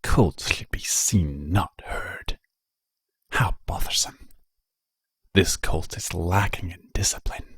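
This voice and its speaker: A man's voice: deep voice, takes it slowly, long pauses, fairly bright tone, husky, no echo, studio quality, heavily acted. Man as hissing, disapproving whispery forest spirit. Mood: hissing disapproval. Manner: hissing whisper, prissy, drawn out.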